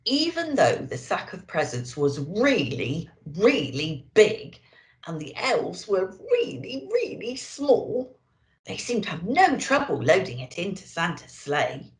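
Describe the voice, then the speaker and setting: Slow, animated woman storyteller. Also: on the low side. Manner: expressive, addressing young children. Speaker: storyteller in character as an elf. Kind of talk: children's story reading. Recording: roomy echo.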